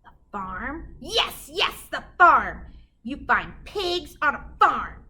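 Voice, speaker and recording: A woman's voice: high voice, very animated, takes it slowly, pushed and bright, voice pushed up, full character voice. A woman voicing a grouchy, greedy old hag witch from a children's storybook, dramatic and exclamatory. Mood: excited scheming. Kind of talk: animated children's storybook character reading.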